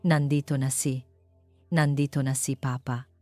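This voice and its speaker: A woman's voice: extremely low pitch, level tone, unhurried, a little mellow, no room sound, faint music behind, pitched below the performer's own voice. A woman voicing an anguished man, a betrayed son and tender, protective father, in an earnest, emotional way. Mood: tearful, hushed.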